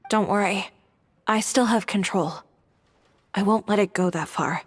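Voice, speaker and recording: Breathy wistful young woman in reverb. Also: medium pitch, measured pace. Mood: reassuring. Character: wistful grieving young woman clinging to her dream. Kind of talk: video game voice acting. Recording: long dreamlike hall reverb.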